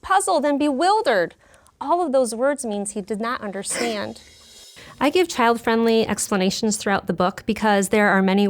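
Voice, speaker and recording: A woman who teaches elementary school, explaining words and lessons in simple, child-friendly terms. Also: mid-range voice. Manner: clear, child-friendly explanations with questions to pupils. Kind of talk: reading aloud and teaching young children, explaining her method.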